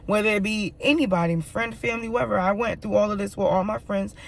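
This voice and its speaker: A woman speaks to camera in a candid personal monologue, her voice raspy and hoarse with a dry, scratchy throat. She leans on emphasis and repeats phrases, swearing freely, with plenty of gravelly fry and a middling pitch. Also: fast talker. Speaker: vlogger.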